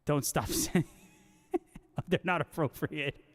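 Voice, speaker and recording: Guy streamer chatting with his viewers, slangy and jokey, kinda high voice, big hall reverb on it. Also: slow pace. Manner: slangy, joking banter. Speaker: guitarist livestream host. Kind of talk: casual livestream chat, reading viewer comments.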